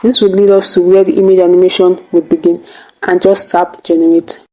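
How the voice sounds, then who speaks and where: A woman's voice: on the low side, little pitch movement, brisk, warm tone, faint music behind, low-quality recording. A woman hosting a tutorial, narrating step by step in a casual way. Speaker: tutorial host.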